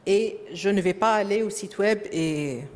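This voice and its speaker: A woman with a French-speaking accent giving a conference presentation, often pausing with fillers and repeating words as she searches for the next phrase. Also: mid-range voice, darkish tone. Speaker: presenter at a professional development session. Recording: echoey hall.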